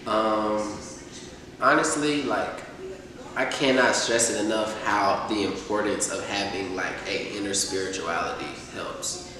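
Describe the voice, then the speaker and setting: A man's voice: lowish voice, a little slow, background noise. Young male rapper, loose conversational delivery, recorded far off in a reverberant room. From Atlanta, USA. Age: 20s. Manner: relaxed, rambling, lots of fillers. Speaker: rapper, guest. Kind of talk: casual sit-down interview. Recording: echoey room, distant mic.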